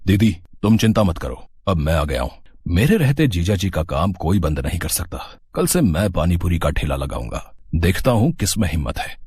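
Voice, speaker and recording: A man's voice: sits low, big pitch swings, normal speed, a little mellow, husky, dry recording, exaggerated voice. A man playing a bold, protective village brother-in-law with a resolute, defiant manner in a story.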